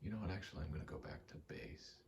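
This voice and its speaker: A man giving a rambling ASMR gaming commentary, halting and meandering as he thinks aloud while playing. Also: sits low, noisy background. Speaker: gaming video creator.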